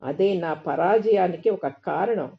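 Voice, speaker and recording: A woman reading the part of a proud demon king who is dying and regretful in defeat, in solemn, measured storytelling. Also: lowish voice, gravelly. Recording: dull, lo-fi recording.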